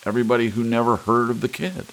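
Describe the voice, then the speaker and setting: A man's voice: mid-range voice, fast talker, a little hiss or hum, pitched above the performer's own voice, close to an everyday voice, low-quality recording. A man voicing a brusque, skeptical prosecutor in an audiobook reading. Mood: scoffing. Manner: brusque, dismissive.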